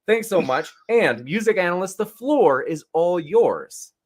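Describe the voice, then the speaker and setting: A man's voice: high voice, big pitch swings, unhurried. A man moderating a debate, chatty and enthusiastic, calling the audience folks. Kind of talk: upbeat moderator introduction.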